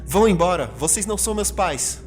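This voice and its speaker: Man putting on a terrified, high-voiced young girl. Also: expressive, brisk, soft background music. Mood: desperate, frightened. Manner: pleading, insistent protests. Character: terrified, furious, crying young girl resisting ghosts.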